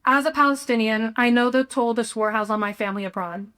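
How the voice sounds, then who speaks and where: A young American woman from Texas, a student speaker delivering a prepared speech in an earnest, deliberate way. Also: fairly high pitch, a bit bright. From Houston, Texas, United States. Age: young adult.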